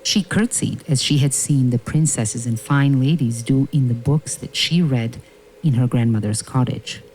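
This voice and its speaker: A man narrating a fairy tale from a script in a measured, dramatic, deliberate way. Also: mid-range voice, darkish tone, slight background noise, low-quality recording.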